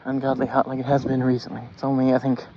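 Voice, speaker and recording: A man of forty talking casually in a halting, self-deprecating way, thinking aloud as he rambles through a vlog. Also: mid-range voice, completely flat delivery. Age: 40s. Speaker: vlogger. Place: outdoors, walking along a neighborhood street. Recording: dull phone audio, noisy street ambience with traffic.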